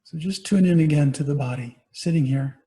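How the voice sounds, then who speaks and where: A man guiding a meditation as a teacher, giving gentle, unhurried instructions with pauses between phrases. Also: on the high side. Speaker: meditation teacher, Buddhist monastic. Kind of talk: guided body scan meditation.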